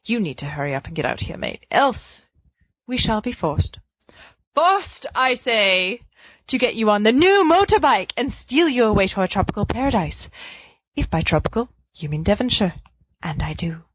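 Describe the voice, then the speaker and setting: A woman's voice: medium pitch, very animated, normal speed, dry recording. Woman reading with playful theatrical swings. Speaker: podfic reader. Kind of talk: reading a fan story letter aloud. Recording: dry, dull lo-fi recording.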